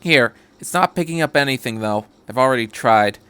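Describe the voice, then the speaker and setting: A man's voice: mid-range voice, lively intonation, brisk, fairly bright tone, clean tone, no room sound, lightly acted. A man voicing a curt undercover woman agent in a story reading, cool and disdainful.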